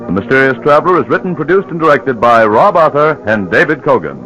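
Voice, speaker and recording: A man's voice: mid-range voice, big pitch swings, quick pace. A man announcing in a scripted 1940s radio style as he closes out a broadcast. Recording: dull old radio broadcast recording. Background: music.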